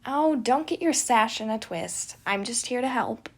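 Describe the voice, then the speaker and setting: A woman voicing a brash, mischievous young man, a crude and chaotic twin brother who exclaims and teases loudly in an audio story. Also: a little high, lively intonation, measured pace. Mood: teasing. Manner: loud, exclaiming, teasing.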